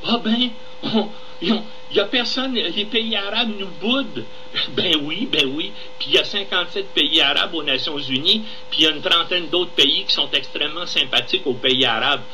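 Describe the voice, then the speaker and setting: Male Québécois political commentator, higher-pitched and very forceful, emphatic and indignant, live radio with faint noise. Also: moderate pace, poor audio. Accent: Québécois French.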